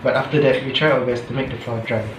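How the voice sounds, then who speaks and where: A young man, a student, reading his scripted reflection in a steady, earnest way. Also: medium pitch, fast talker, noisy background. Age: young adult. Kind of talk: scripted reflection read aloud. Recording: roomy echo with background music.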